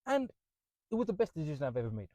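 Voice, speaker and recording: A man with a London British accent speaks in an opinionated monologue. His voice sits a little high and is recorded very dry. He is earnest and repeats phrases for emphasis. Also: sing-song, fast, dark tone, no room sound, dull audio.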